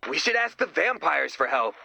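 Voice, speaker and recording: A man voicing an excitable, earnest and nervous sidekick in a video game, with a goofy manner. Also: fairly high pitch, moderate pace, vocal fry, husky, no room sound, soft background music, full character voice. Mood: eager, chatty.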